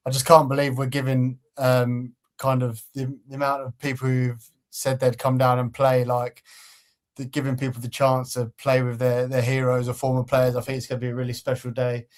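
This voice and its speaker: Laid-back man co-hosting a fan podcast, steady and low-key. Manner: easygoing, fills pauses with um. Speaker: co-host of a football fan channel. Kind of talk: casual podcast chat.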